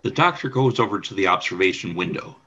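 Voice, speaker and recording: Husky, animated male narrator. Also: deep voice, fast, muffled recording. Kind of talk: scripted radio play narration.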